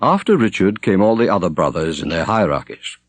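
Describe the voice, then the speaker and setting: A man narrating an audiobook in a measured, expressive storytelling style with formal diction. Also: deep voice, no echo. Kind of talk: scripted literary narration, storytelling.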